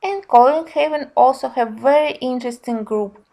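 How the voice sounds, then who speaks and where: A woman giving a casual review narration in simple, slightly halting non-native phrasing. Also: sits high, gravelly, mellow. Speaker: colouring book reviewer.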